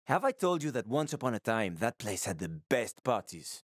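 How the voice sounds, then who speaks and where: An actor playing a wry, roguish middle-aged survivor in a video game, with a sardonic, slangy, casual way of talking. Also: male voice, medium pitch, sing-song, moderate pace, no echo, studio quality. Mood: wry, matter-of-fact. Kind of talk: video game character acting.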